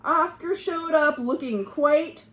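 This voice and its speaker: A woman hosting a children's storytime, reading aloud expressively and pausing to explain words and ask the listeners questions. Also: sits high, a bit bright. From United States.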